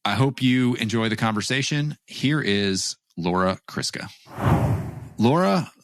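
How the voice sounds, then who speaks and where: A man's voice: mid-range voice, lively intonation, unhurried, no room sound. A man hosting an interview podcast, introducing his guest and asking questions in an earnest, upbeat way. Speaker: podcast host.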